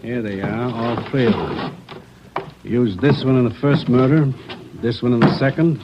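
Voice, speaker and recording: An actor playing a brisk, matter-of-fact crime lab technician in a 1940s radio police drama. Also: male voice, mid-range voice, lightly acted. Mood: businesslike. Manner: businesslike, dry, clipped. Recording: muffled, noisy old radio recording.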